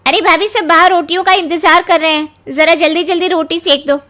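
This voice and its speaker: A woman playing a breezy, bossy young sister-in-law in a dramatised moral story, brisk and casually commanding. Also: extremely high pitch, steady tone, bright, forceful tone. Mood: impatient.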